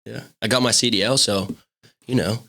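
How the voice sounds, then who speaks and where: A man's voice: lowish voice, fairly even intonation, moderate pace, gravelly, sharp tone. Laid-back man of thirty, says "dude". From Pennsylvania. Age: 30s. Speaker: podcast guest. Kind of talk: casual podcast chat.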